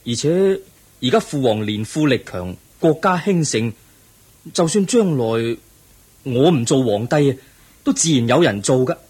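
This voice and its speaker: An actor playing an earnest young crown prince in a Cantonese radio drama, speaking in a solemn, formal and measured manner. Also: male voice, medium pitch, animated, a little mellow, husky. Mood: yearning, resolute.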